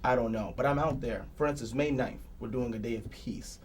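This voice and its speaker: A man's voice: mid-range voice, fairly quick, a little hiss or hum. Earnest young man. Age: 20s. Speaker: council candidate guest. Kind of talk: political discussion.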